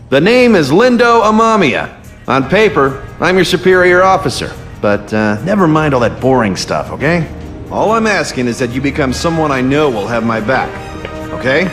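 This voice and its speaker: A cocky, relaxed squad leader in a game. His voice is fairly high and very inflected, casual and wry. Music sits behind it. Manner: casual, offhand, joking. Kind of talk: video game cutscene voice acting.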